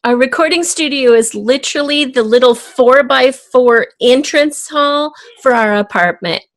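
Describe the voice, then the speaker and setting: A woman's voice: high voice, measured pace, a bit bright. A middle-aged or older woman talking casually as a guest in an interview. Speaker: podcast guest, audio drama creator. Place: home, in front of bookshelves. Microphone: USB desktop microphone.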